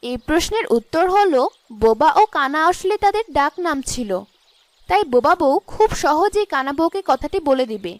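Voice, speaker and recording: A woman's voice: high voice, very animated, moderate pace, a little hiss or hum. A woman narrating a scripted cartoon story as its storyteller.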